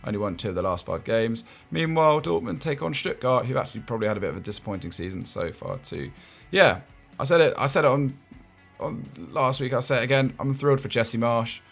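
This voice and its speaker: Male host, mid-pitched, casual and stammery, chuckles a lot. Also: expressive, brisk, gravelly, a bit bright, faint music behind. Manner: stammering restarts and chuckling asides. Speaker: football show host. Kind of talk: casual football analysis chat.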